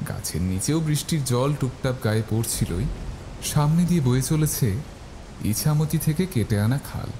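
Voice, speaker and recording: A man's voice: mid-range voice, expressive, normal speed, dark tone, slight background noise, rough recording. A man narrating a scripted first-person horror story. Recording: faint music behind the voice.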